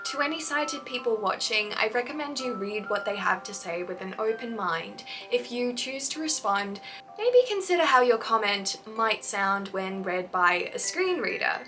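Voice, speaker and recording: A woman's voice: medium pitch, lively intonation, normal speed, fairly bright tone, recorded from a distance, faint music behind. A young woman YouTuber giving a scripted, earnest and encouraging explainer about fanfiction.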